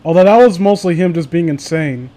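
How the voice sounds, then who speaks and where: A man's voice: a little high, brisk, mellow. A man hosting a livestream, chatting casually with friends and viewers in a sardonic, profane way. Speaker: livestream host.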